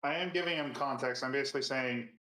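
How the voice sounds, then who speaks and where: A man's voice: mid-range voice, fast, bright, forceful tone. A man playing a tabletop roleplaying game, talking casually as he describes his character's actions. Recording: roomy, echoing sound.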